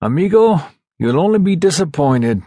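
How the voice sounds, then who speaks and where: A man voicing brash, rowdy young Navy SEALs with loud, emphatic banter in an audiobook story. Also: on the high side, big pitch swings, moderate pace, no echo, clean studio sound, pitched above the performer's own voice. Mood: teasing, blunt.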